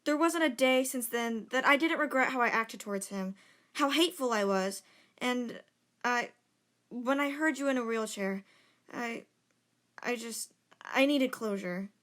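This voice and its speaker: A woman's voice: fairly high pitch, a little slow, fairly bright tone. A woman playing a young journalist, earnest and hesitant, in an amateur audio drama. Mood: remorseful.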